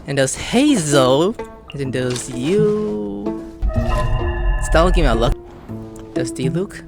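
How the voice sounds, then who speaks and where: An excitable gaming YouTuber giving casual, slangy commentary, jumping between muttered asides and squeals while playfully talking to game characters. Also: slow pace, vocal fry, over loud music. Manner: exclamatory, swings from muttered asides to high squeals.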